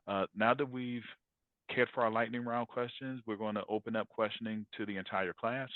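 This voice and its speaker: A man's voice: on the low side, fairly even intonation, moderate pace, dry recording. A man hosting audience questions formally, with courteous, ceremonious phrasing. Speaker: professor hosting a class interview. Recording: thin phone-like sound.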